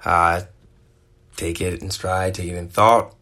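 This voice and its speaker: A man hosting a podcast, signing off in a relaxed, chatty way and calling his listeners "guys". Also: deep voice. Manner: slows down to read a quote. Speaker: podcast host. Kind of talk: casual show sign-off.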